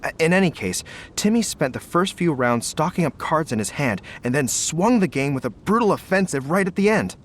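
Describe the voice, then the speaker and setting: A man's voice: a little high, animated, a little fast, few pauses, a little hiss or hum, lightly acted. A man voicing a weary, sighing knight in a video game who rambles a little. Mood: dejected.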